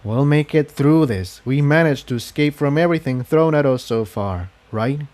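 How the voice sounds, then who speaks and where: A man voicing a steadfast, weary male unicorn pony in a storybook adventure, speaking in a calm, earnest, reassuring way. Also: a little high, moderate pace, natural voice. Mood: calm resolve.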